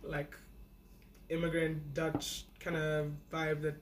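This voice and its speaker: A young woman from South Africa, a podcast guest, telling a personal story in a casual, animated way with lots of fillers. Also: low voice, slow pace, noisy background. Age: young adult. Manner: animated, acts out her younger self.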